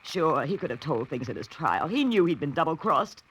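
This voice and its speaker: A woman's voice: sits low, sing-song, moderate pace, a bit bright, slightly rough, full character voice. A woman playing a guarded, desperate woman in her thirties in a 1940s radio melodrama, with a dramatic delivery. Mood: resigned, confessing. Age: 30s. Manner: dramatic, haughty turning to pleading. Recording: faintly noisy vintage 1940s radio recording.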